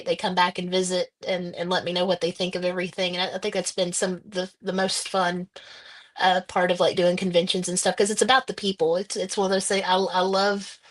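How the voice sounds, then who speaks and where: A woman, an author, answering interview questions casually and hesitantly, with many pauses and restarts. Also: lowish voice. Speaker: author guest.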